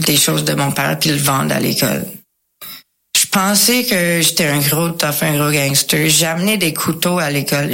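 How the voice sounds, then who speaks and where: An English-speaking woman tells her personal story casually, with slangy English words mixed in and pauses to search for words. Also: deep voice, gravelly, a bit bright. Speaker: guest sharing a recovery testimony.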